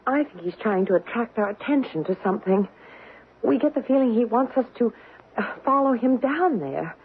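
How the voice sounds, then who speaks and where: Frightened young mother, high lively pitch, nervous, hesitant, vintage radio play, narrow tinny sound. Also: moderate pace. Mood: anxious, earnest. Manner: nervous, hesitant, repeating words. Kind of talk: old-time radio drama acting. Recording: band-limited, noisy old radio recording.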